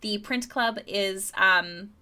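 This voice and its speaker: Bright-voiced young woman chatting while drawing. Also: mid-range voice, slow pace, clean tone. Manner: chatty, stumbles and repeats words, laughs often. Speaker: streaming artist. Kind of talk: casual live-stream chat while drawing.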